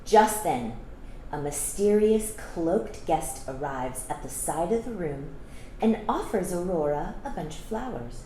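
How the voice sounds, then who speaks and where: A woman's voice: lowish voice, measured pace. Woman reading a storybook in an echoey room. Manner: expressive, explains to young listeners. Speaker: storytime reader. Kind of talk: children's storybook read-aloud with asides. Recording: echoey room with faint background noise.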